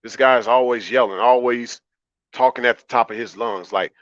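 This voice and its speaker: A man with a deep baritone voice talking calmly and conversationally as a livestream host, repeating phrases for emphasis. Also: moderate pace. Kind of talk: conversational livestream monologue, answering chat. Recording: roomy, slightly dull lo-fi audio.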